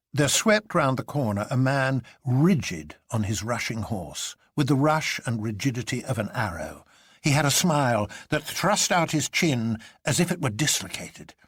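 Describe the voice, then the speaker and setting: A man's voice: medium pitch, slightly rough, no echo, studio quality. A man reading a literary adventure story aloud as a dramatic narrator. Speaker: audiobook narrator.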